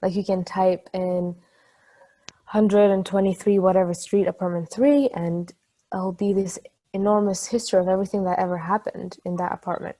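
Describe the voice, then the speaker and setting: A woman's voice: medium pitch, level tone, normal speed, natural voice. A woman acting an affectionate, brainy, playful partner in a casual, conversational table-read style. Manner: casual, conversational, gently teasing.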